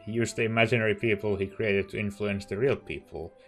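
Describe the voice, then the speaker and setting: A woman's voice: rumbling low, steady tone, a little fast, music in the background. A woman giving casual, exclamatory and opinionated reactions as she plays through a game story. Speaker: let's play streamer.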